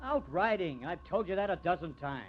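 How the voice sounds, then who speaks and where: A man's voice: high voice, expressive, fairly quick. An actor playing an insolent, hot-headed young man, sarcastic and combative, in a 1950s radio drama. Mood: defensive, sullen. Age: young adult. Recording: dull, hissy vintage radio recording.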